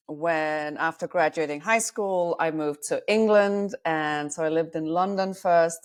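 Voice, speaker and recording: A woman in her forties from Denmark, a guest on a podcast talking about her life as a dating coach, reflective and self-deprecating. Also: lowish voice. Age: 40s. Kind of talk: podcast interview.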